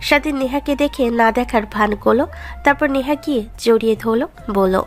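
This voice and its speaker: A woman's voice: fairly high pitch, moderate pace. A woman narrating a scripted romantic story as its storyteller.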